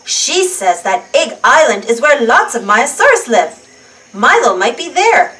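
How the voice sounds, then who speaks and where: A high-voiced woman reads a picture book to small children, taking her time over each phrase. Her delivery is animated and deliberate, and she sounds set back from the microphone. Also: distant mic, low-quality recording. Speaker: children's storybook reader. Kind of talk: animated read-aloud storytelling for young children.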